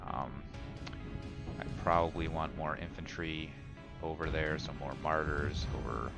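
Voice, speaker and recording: A man with a very low voice talks through his own gameplay in a casual, hesitant way. He often pauses on uhs and ums as he thinks aloud. Loud game music plays behind him, with gunfire and artillery. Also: steady tone, vocal fry. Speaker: gamer commentating own gameplay. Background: game music, artillery, gunfire, vehicles.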